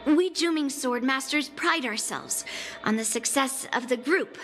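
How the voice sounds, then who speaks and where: Young female sword prodigy, game role: high, confident, blunt and cocky, over clear music. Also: measured pace, a bit bright. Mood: casual, proud. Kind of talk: video game voice acting.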